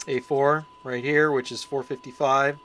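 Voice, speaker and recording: A man giving a casual, unscripted repair walkthrough, plainspoken and thinking aloud with pauses as he works. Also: medium pitch, level tone, fairly bright tone, slight background noise. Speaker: hobbyist radio repairer presenting his channel.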